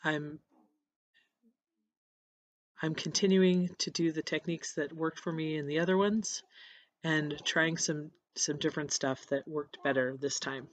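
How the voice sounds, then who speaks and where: A painter with a cold, hoarse and scratchy, casually narrating a voiceover of their painting process with halting restarts. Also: medium pitch, fairly even intonation, dry recording. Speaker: encaustic painter. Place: voiceover recorded apart from the footage.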